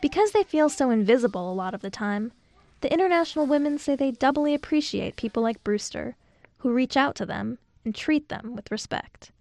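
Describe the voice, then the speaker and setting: A woman radio reporter narrating a scripted news feature in a measured, clear delivery. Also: sits high, lively intonation, darkish tone, dry recording.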